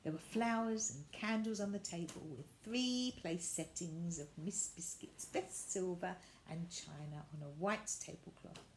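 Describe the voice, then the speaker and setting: A woman reading a children's story aloud, with deliberate, expressive narration. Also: lowish voice, a little hiss or hum, low-quality recording.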